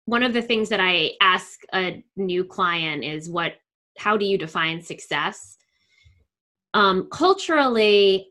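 A middle-aged woman with a New York area accent, speaking earnestly as an interview guest and pausing often with "um". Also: mid-range voice, a bit bright. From northern New Jersey. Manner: frequent ums, thoughtful and earnest. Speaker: coach, guest. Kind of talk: conversational interview.

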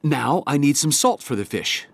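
A man playing a humble, gentle fisherman and younger brother, polite and earnest, in a children's audio drama. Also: fairly high pitch, expressive, moderate pace, creaky, no room sound.

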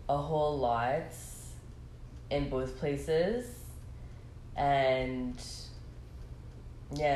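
A woman, a guest talking in a relaxed, reflective way with many fillers. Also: very deep voice, slight background noise. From Bahamas. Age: 20s. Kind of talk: casual conversation. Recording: echoey room, faint ambience.

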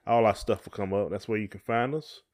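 A man's voice: lowish voice, steady tone, fairly quick, darkish tone. A middle-aged man, chatting casually as a podcast co-host in a folksy, encouraging way.

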